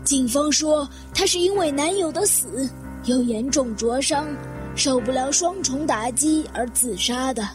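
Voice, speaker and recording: A woman's voice: high-pitched, unhurried, music in the background. A woman playing a composed, grave older lady in a detective audio drama. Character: composed older widow, Madam Honma, recounting a suicide.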